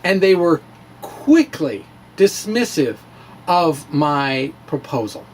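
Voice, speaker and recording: Slow, theatrical man with swooping pitch. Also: very animated, a little hiss or hum. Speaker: physics blogger. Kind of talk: dramatic reading of a science blog with informal asides.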